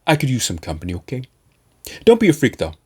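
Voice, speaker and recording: Man with a lively, swinging pitch. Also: fast, slightly rough. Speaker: amateur audiobook reader and channel host. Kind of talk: narrated novel reading and casual vlog chat.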